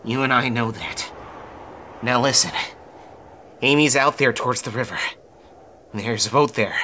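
Slow-drawling wounded outlaw hedgehog. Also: male voice, mid-range voice, slight background noise. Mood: weary, tender. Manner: drawling Western gunslinger talk, slow and emphatic. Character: wounded, defiant hedgehog outlaw making a last stand. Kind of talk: dramatic audio drama acting. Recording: loud music laid under the voice. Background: loud dramatic music.

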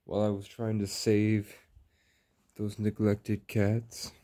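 A man in his twenties giving a slow, rambling monologue in short, halting phrases while walking outdoors. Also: lowish voice, monotone, mellow, no echo. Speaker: vlogger. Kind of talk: slow rambling monologue, ASMR-style walk.

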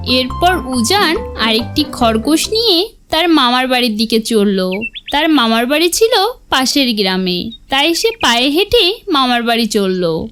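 A woman narrating a scripted cartoon fairy tale as an expressive storyteller. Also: sits high, measured pace. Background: music.

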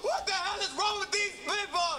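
A man doing a frantic, extremely high-pitched night guard. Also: steady tone, normal speed, over music. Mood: blustering. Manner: frantic, repetitive, blustering. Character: panicky male night guard, jumpy, blustering.

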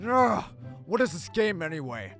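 High, gravelly, panicky hedgehog antihero. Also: male voice, big pitch swings, moderate pace, soft background music, heavily acted. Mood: irritated, flustered. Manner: melodramatic, repetitive yelling and stammering. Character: edgy, brooding male hedgehog, easily panicked and exasperated. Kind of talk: cartoon comic dub acting.

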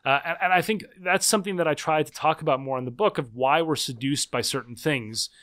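A man's voice: on the high side, fairly quick, a bit bright. Thoughtful man talking in a podcast interview. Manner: thoughtful, hedging with fillers and restarts. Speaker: podcast guest, author. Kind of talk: conversational interview.

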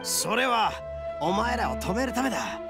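An actor playing a brash, hot-headed but loyal young oni gang boss in an anime-style game, talking rough and blunt. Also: male voice, sits high, very animated, normal speed, loud music behind the voice, heavily acted. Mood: indignant.

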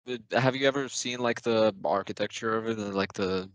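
A man's voice: lowish voice, moderate pace, dry recording. A young male university student from Serbia, a guest on a video call, who speaks hesitantly with many fillers and frequent laughs. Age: young adult. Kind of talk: casual video-call interview.

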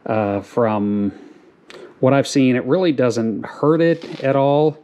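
A middle-aged man hosting a farm channel, explaining a do-it-yourself project in a relaxed, rambling way with lots of fillers, filmed in a garage on an action camera. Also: medium pitch, takes it slowly, slight background noise. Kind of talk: casual how-to video narration. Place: garage, then outdoors on a farm lawn.

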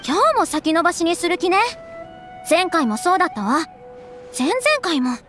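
Caring young noblewoman, very high, soft refined speech, faint game music. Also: lively intonation, normal speed. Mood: earnest. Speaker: game character.

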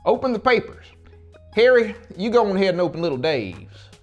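A man's voice: high voice, sing-song, a little fast, lo-fi sound, faint music behind, voice pushed up. A man voicing a commanding, folksy small-town official with a Southern American accent in an audiobook.

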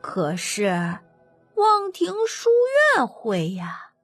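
A woman voicing a sly, self-assured little boy emperor in a childish, drawn-out voice for an audiobook. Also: medium pitch, wildly swooping pitch, a bit bright, husky, no room sound, studio quality, soft background music, exaggerated voice. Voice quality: childish, babyish voice.

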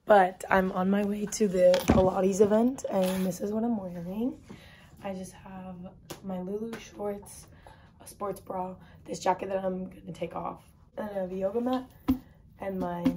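A young woman talking casually to her phone camera in a dorm room, her voice hoarse and half gone. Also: mid-range voice, fairly even intonation, unhurried, noisy background. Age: young adult. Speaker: vlogger. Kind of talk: casual vlog talk to camera. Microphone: phone at arm's length.

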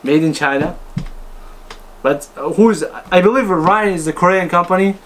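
A man's voice: sits high, normal speed, a bit bright, slight background noise. A man giving a casual, unscripted product review, talking to viewers in choppy, informal phrases. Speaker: host reviewing car audio speakers.

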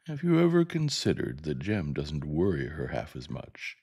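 A man voicing a firm, flinty father in an audiobook story, stern and measured. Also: rumbling low, huge pitch swings, a little mellow, slightly rough, heavily acted.

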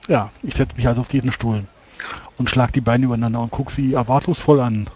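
A man's voice: mid-range voice, fairly even intonation, fast talker, a little mellow, slight background noise. A man casually narrating his actions as a player in a tabletop roleplaying game.

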